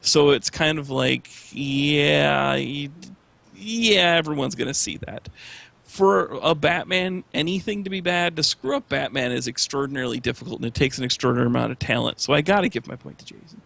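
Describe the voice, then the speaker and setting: A man on a debate panel, chatting casually and giving opinions in a halting, stammering way. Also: a little high, creaky, fairly bright tone.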